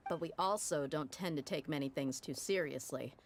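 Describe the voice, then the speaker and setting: A woman's voice: low voice, moderate pace, a little hiss or hum. A woman voicing a brash, outspoken woman mercenary captain in a video game. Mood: frank. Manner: blunt, outspoken.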